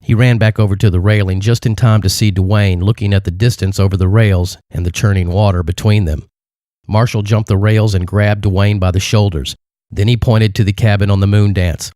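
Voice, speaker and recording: Guy with a lowish voice reading an action novel out loud, dry close sound. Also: steady tone, moderate pace, dry recording. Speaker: audiobook narrator and author. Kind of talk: dramatic scripted narration.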